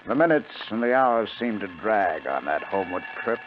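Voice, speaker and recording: A confident male crook tells his story. His delivery is animated and swings widely in pitch, in 1950s drama style. The recording is dull, with plenty of old radio noise. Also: normal speed. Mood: tense, measured. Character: Steve, confident male crook narrating his scheme, posing as tourist. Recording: muffled, noisy 1950 radio recording.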